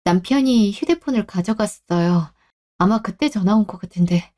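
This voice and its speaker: A woman playing a gentle, apologetic young wife who speaks politely and softly in a radio drama. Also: medium pitch, expressive, normal speed, close to an everyday voice. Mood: polite, reassuring. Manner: soft-spoken, conciliatory, polite. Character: gentle, apologetic young married woman, a patient daughter-in-law. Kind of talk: Korean radio drama acting.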